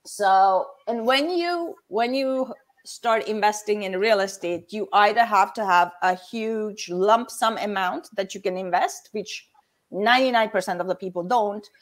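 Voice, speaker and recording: A woman's voice: mid-range voice, a little slow. A woman in her fifties from Hungary with a Hungarian accent, a guest explaining things step by step in a casual chat.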